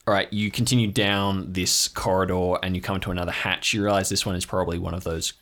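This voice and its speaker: Male game master, low-pitched, measured narration for an improvised tabletop audio adventure. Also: flat delivery, a bit bright.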